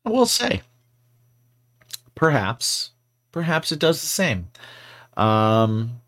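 A man's voice: medium pitch, sing-song, takes it slowly, fairly bright tone. A man co-hosting a podcast, chatting casually and rambling playfully with many fillers. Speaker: podcast co-host. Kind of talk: casual chat.

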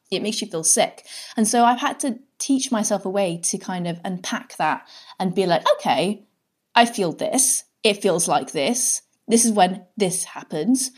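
A British woman speaks as a guest in a casual interview. Her voice sits at a middle pitch, and she is chatty and candid. She explains things through personal examples, often voicing her own self-talk. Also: a little mellow. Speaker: guest, scientist and writer.

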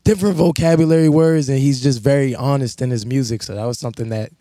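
A hoarse man speaks into a studio microphone on a radio show, his voice dark and mellow. He introduces a music pick earnestly and steadily, with the odd "you know" and "um", in a close, dry studio sound. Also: medium pitch, steady tone, quick pace, no room sound. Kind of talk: casual radio chat introducing a song.

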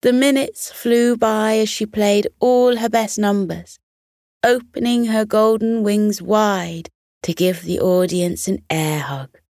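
A woman narrating a children's bedtime story in a gentle, expressive storytelling manner. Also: mid-range voice, slow, no echo, studio quality.

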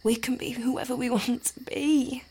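A woman in an audio drama speaking in a soft, intimate whisper. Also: a little high, steady tone, measured pace, a little hiss or hum. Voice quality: breathy.

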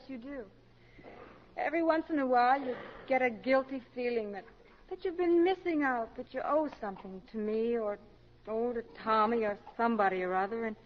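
Actress as caring American wife, high voice, vintage radio. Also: female voice, natural voice. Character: concerned, patient, plain-spoken wife of an overworked doctor. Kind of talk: 1950s American radio drama acting. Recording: noisy, dull 1950s radio recording.

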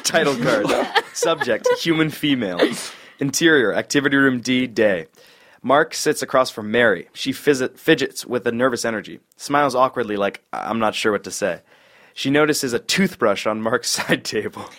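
A man's voice: mid-range voice, normal speed, a bit bright. A man reading stage directions at an informal screenplay table read in clipped, matter-of-fact phrases.

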